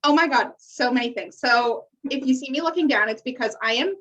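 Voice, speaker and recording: Chatty high-voiced woman on lo-fi audio. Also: a little fast, a bit bright. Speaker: podcast co-host. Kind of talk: casual chat. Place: remote online call. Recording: dull, lo-fi call audio.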